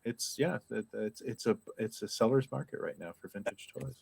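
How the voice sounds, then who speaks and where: A man from Canada chatting about vintage toys in a halting, self-deprecating way, with many restarts. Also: lowish voice, creaky, darkish tone, breathy edge. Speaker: toy collector and writer. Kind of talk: casual panel chat.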